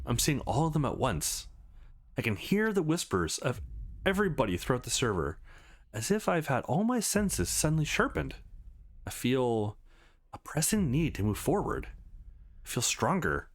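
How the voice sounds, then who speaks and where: Man acting anxious, halting young game hero. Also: medium pitch, dry recording. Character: supernaturally overwhelmed young man training, anxious, earnest. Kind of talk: visual novel read-aloud.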